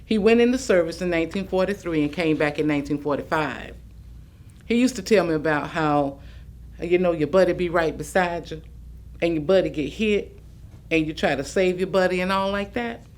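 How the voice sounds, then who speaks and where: A woman's voice: on the low side, normal speed, darkish tone, natural voice. A woman playing an elderly, plainspoken grandmother in a staged reading, reflecting on her life. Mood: reflective. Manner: storytelling, folksy. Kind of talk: dramatic staged reading of oral history.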